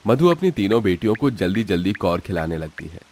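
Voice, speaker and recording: A man's voice: low voice, big pitch swings, brisk, darkish tone, slight background noise. A man narrating a scripted, dramatic story.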